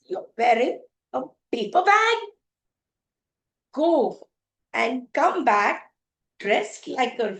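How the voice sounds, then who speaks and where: A woman's voice: high-pitched, full character voice. A woman voicing a haughty, fussy young storybook prince who speaks with indignant, emphatic disdain.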